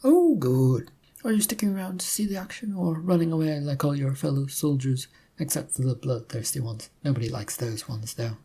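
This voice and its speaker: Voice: mid-range voice, animated, normal speed, mellow, slightly rough. A narrator voicing a sadistic male torturer in a story, chatty and mock-polite as he taunts his victim. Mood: amused.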